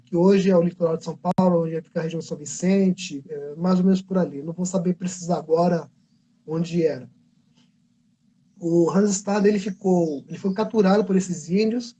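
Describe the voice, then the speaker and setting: Brazilian male artist, higher-pitched, warm and breathy, rambling livestream storyteller. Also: muffled recording, a little hiss or hum. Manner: rambling, self-correcting storyteller. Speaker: comic book artist. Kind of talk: casual livestream talk. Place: convention livestream.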